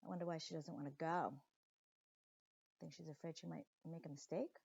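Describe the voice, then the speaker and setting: A woman reading a children's story aloud expressively, with deliberate dramatic pauses and chatty asides to young listeners, like a teacher. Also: low-pitched, fairly even intonation, vocal fry, a little mellow, slightly rough, dry recording, highs cut off.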